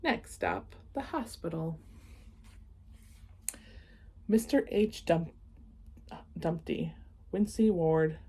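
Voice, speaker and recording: Husky low-voiced woman reading a picture book. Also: takes it slowly. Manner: expressive storytelling with casual, enthusiastic asides. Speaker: read-aloud host. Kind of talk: children's picture book read-aloud with chatty asides.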